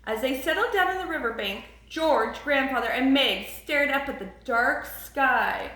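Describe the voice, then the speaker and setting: A woman reading a picture book aloud with expressive, emphatic storybook narration. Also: high voice, measured pace, roomy sound.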